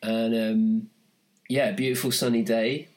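A man's voice: mid-range voice, flat delivery, a little slow, a little mellow. A man co-hosting a casual podcast, recounting a day out in a rambling way with dry humor. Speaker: podcast co-host. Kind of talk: casual chat.

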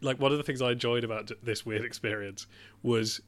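A man co-hosting a film podcast, animated and laughing through his sentences, often restarting them. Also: mid-range voice, a little fast. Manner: animated, laughs mid-sentence, self-interrupting. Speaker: podcast co-host. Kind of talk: casual film podcast chat.

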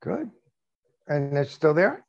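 An older man hosting a podcast, asking questions slowly and adding dry jokes. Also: on the high side, big pitch swings, creaky, muffled recording. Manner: joking, unhurried questioning. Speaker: podcast host, interviewer. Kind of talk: interview questions.

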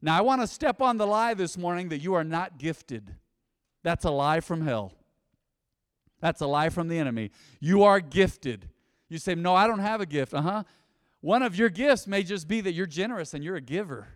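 Lively high-voiced male pastor preaching. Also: moderate pace, big-room sound. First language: English. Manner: animated, conversational preaching with many asides. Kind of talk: sermon. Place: church.

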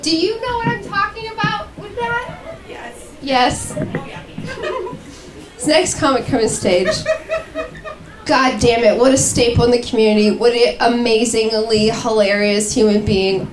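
High-voiced woman emceeing a comedy night. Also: measured pace. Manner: rambling, self-deprecating banter. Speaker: comedy show host. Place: comedy club with a crowd. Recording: echoey club, loud crowd and noise.

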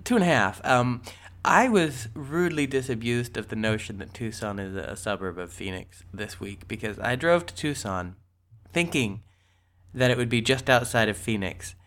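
A man's voice: medium pitch, normal speed, a bit bright. A man with a cold, sniffly, co-hosting a casual podcast and rambling through the news with many ums and restarts. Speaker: podcast co-host.

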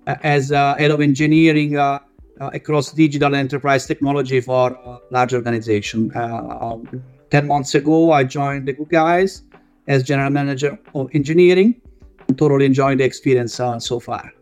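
Italian-accented male panel guest, mid-pitched, stop-start delivery with lots of fillers, soft music underneath. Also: steady tone, smooth. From northern Italy. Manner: halting, many filler sounds. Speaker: guest, engineering manager. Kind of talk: casual panel discussion.

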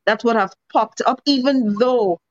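An earnest woman making her points emphatically in a discussion, and now and then asking rhetorical questions. Her voice sits fairly high. The sound is dull and lo-fi with no room at all. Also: measured pace, no echo. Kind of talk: casual discussion.

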